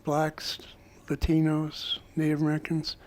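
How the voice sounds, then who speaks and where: Panelist, male, soft voice, higher pitch, unhurried delivery. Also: monotone. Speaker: alumni panelist. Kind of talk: personal recollection on a panel. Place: college event room.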